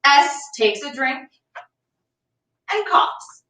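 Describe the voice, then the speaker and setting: A woman's voice: sits high, sing-song, drawn-out words, bright, forceful tone, faint music behind. A woman reading scripted stage directions aloud at a play reading. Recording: echoey room, far from mic.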